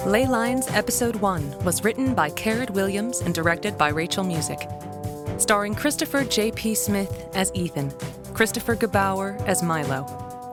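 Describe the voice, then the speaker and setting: A woman reading scripted credits as the announcer of an audio drama. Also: mid-range voice.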